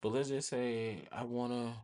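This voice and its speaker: An American man with a husky voice hosting a casual software tutorial, rambling informally and often checking in with listeners. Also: medium pitch, fairly even intonation, a little slow. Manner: informal, rambling, repeats check-in tags like "right?" and "okay?". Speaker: host of a tech tutorial channel.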